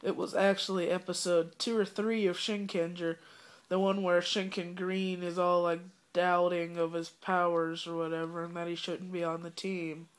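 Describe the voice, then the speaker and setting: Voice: medium pitch, level tone, moderate pace. A young fan who is sick and coughing, giving a rambling, disappointed casual review full of fillers.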